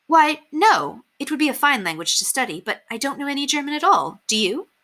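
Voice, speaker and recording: A woman's voice: high voice, very animated, fairly quick, bright, forceful tone, pitched above the performer's own voice, full character voice. A woman voicing a kind, earnest young governess with proper, polite phrasing in a storybook reading.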